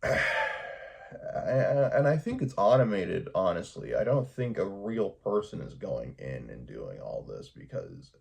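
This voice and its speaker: Gravelly, husky low-voiced man. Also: wildly swooping pitch, takes it slowly. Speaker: YouTuber. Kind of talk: casual vlog monologue.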